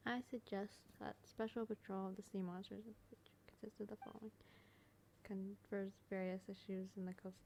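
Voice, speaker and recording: Creaky-voiced woman doing casual gameplay commentary. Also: medium pitch, mellow, a little hiss or hum. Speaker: gaming video creator. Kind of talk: casual playthrough commentary and reading aloud.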